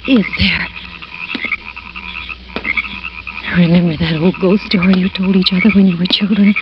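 An actress playing a frightened woman alone at night, tense and halting as she talks to herself in a radio suspense drama. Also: sits low. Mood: uneasy, hushed. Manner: tense, halting, talking to herself, at times whispering. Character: frightened woman walking alone at night, fighting rising panic. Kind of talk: 1940s radio suspense acting. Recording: muffled, noisy old radio recording.

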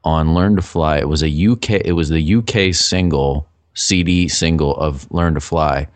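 A middle-aged man with a low voice, co-hosting a casual podcast chat in a chatty, rambling way. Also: normal speed, no room sound. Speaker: podcast co-host.

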